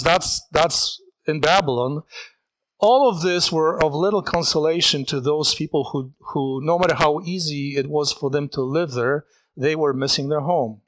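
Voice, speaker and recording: Man, preacher, sermon, earnest, reflective, halting, slightly high voice. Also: fairly bright tone, rough recording. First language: Polish.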